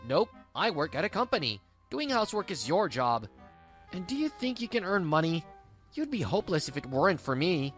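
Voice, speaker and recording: A woman's voice: on the low side, sing-song, a little fast, faint music behind. A woman voicing a selfish, dismissive young husband in a manga dub, whiny and condescending.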